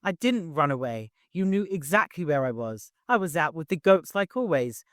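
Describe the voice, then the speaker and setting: Man as a high, shaken, defiant young woman. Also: sing-song, normal speed, fairly bright tone, no echo, clean studio sound. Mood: defensive. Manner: hysterical edge, protesting.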